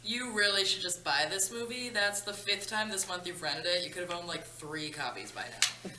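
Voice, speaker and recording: A woman's voice: on the low side, normal speed, pushed and bright. A woman playing a chatty, nerdy video store clerk at a comedy screenplay table read. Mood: teasing. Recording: echoey room, distant mic, faint noise.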